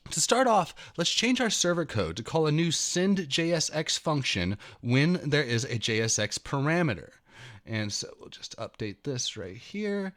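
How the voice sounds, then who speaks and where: Male tutorial host, thirties, mid-pitched voice, highly animated intonation, emphatic read-aloud delivery. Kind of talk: reading a written programming tutorial aloud, with commentary. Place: indoor room at a computer.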